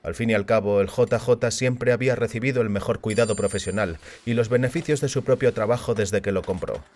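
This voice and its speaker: A man's voice: on the low side, a little fast, darkish tone. A man reading a short story as scripted audiobook narration. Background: music.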